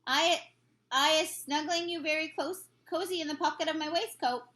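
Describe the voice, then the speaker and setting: A woman voicing a gentle, nervous male giant from a storybook, with quaint, folksy, mangled grammar. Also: sits high, steady tone, normal speed. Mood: reassuring.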